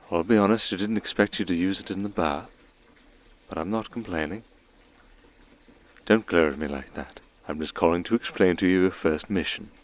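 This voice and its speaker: Man as a deep-voiced vampire archduke, smug and suave. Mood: teasing. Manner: suave, teasing, measured formal speech. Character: scheming, commanding male vampire archduke, smug and teasing. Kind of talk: ASMR roleplay acting.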